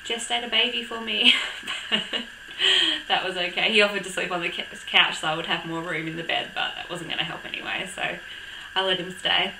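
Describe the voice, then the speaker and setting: A young woman with a croaky voice from a cold, chatting casually to camera with lots of ums and little laughs. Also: fairly low pitch, a little fast, reverberant, background noise. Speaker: vlogger. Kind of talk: casual chat.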